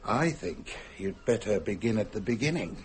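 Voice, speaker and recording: Guy playing gruff police super in radio drama, dry n exasperated, voice goes up and down a lot. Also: lowish voice, animated, moderate pace, very noisy, natural voice. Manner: dry, sceptical, teasing.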